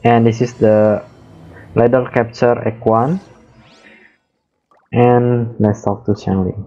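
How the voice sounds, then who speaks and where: A man giving casual let's play commentary in halting, short, simple remarks. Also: mid-range voice, level tone, dark tone. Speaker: gaming video host. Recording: dull microphone, faint game music.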